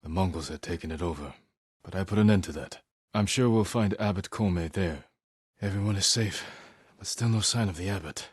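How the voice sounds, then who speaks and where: A man playing a solemn, resolute samurai warrior in a video game, speaking in a husky, measured, grave way with short phrases. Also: deep voice, dry recording, clean studio sound. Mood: grave, focused. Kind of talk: dramatic video game character dialogue.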